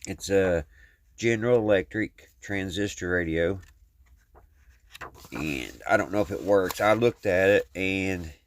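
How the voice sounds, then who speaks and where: A man's voice: sits low, unhurried, long pauses, dry recording. A man with a Kentucky Southern accent talking casually and plainly to his viewers, in a folksy, rambling way as he works with his hands. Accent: Kentucky Southern American. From Kentucky, United States. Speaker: host of a hobby repair video channel.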